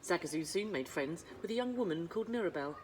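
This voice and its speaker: Expressive woman reading a children's story. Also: on the low side, fast talker, a little hiss or hum, poor audio. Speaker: school librarian reading aloud.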